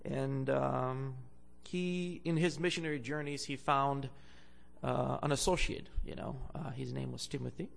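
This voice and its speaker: A young man from Bulgaria, a guest preacher, gives a sermon in a church, halting with many fillers and growing louder when he reads scripture. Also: medium pitch, steady tone, gravelly.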